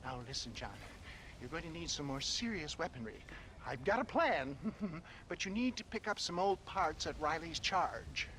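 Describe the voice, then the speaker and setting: A man's voice: fairly high pitch, lively intonation, normal speed, fairly bright tone, a little hiss or hum. A man playing an elderly, wheedling snake-oil salesman in a video game, flustered, florid and theatrical. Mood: sly, wheedling. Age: older. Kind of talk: video game cutscene acting.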